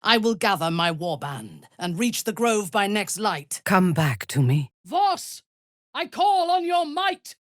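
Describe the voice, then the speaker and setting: An actress playing a ruthless, haughty drow noblewoman in a video game, with a husky voice and formal, imperious, menacing delivery. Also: mid-range voice, big pitch swings, slow pace, dry recording, clean studio sound. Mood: battle cry. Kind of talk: video game voice acting, dramatic character lines.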